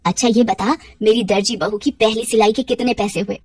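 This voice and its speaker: A woman's voice: medium pitch, fairly even intonation, a little fast, darkish tone, breathy edge, studio quality, lightly acted. A woman voicing a kindly, motherly, talkative elderly neighbour in a cartoon story. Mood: affectionate, chatty. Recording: roomy echo, distant from mic.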